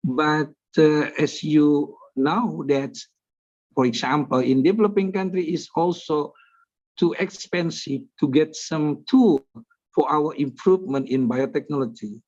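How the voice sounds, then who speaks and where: Hoarse Indonesian-accented man, unhurried and halting, muffled call audio. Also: fairly high pitch, darkish tone. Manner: slow, halting, many pauses and fillers. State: hoarse from a flu. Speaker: audience member asking a question. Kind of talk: online seminar question.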